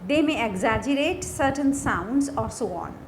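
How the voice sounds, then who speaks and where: A woman with an Indian accent giving a scripted lesson as a lecturer, deliberate and instructional, phrase by phrase. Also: high voice, lively intonation. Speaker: English lecturer. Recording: faint background noise.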